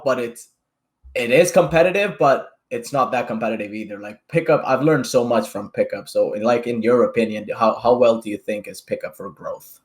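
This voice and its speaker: A man in his twenties hosting a podcast, chatty and casual, tossing in swearing and tag questions. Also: medium pitch. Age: 20s. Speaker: podcast host.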